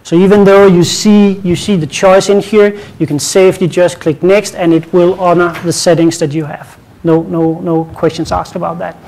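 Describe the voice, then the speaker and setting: Danish guy with a higher voice doing a tech talk, relaxed, lots of restarts. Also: normal speed, poor audio. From Denmark. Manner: casual, repeats words, light joking. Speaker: conference presenter. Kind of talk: technical conference talk.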